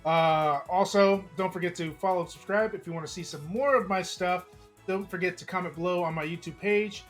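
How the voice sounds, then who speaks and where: Hoarse man narrating a game stream. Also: high voice, fairly even intonation, fairly quick, soft background music. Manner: casual, chatty, reading aloud with small slips. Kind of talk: narrating a visual novel live.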